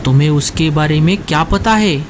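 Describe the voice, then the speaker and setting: A stern male cartoon crow, voiced by a man, slightly high in pitch. He questions and lays down rules like a bossy elder brother, with faint noise behind him. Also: a little fast, close to an everyday voice. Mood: stern, suspicious. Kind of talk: Hindi cartoon story dialogue.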